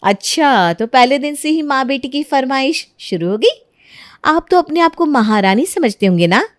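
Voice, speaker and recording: A woman's voice: sits high, expressive, normal speed, no room sound, clean studio sound. A woman voicing a proud, defiant young bride in a cartoon family drama. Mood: sarcastic. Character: proud, defiant young bride who suspects her in-laws.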